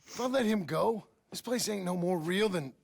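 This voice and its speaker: An actor playing a gruff but earnest frontier outlaw turned rancher in a video game, with an American accent and a gravelly, plainspoken, halting delivery. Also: male voice, high-pitched, expressive, no room sound, heavily acted. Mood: pleading, resolute. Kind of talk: dramatic video game acting.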